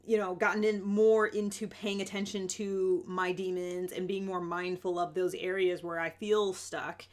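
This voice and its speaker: A woman's voice: on the low side, level tone, moderate pace. A woman hosting a casual, unscripted video, speaking in a conversational, reflective way and leaning on key words. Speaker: video host sharing self-growth advice. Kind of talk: casual, unscripted talking to viewers.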